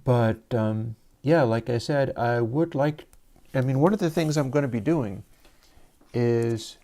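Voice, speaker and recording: Male livestream host, soothing and mellow, easygoing explainer on a lav mic. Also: mid-range voice, measured pace. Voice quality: soft, soothing voice. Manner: relaxed, rambling, explains gear step by step. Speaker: livestream host and audio developer. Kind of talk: casual livestream demo. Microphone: lavalier mic.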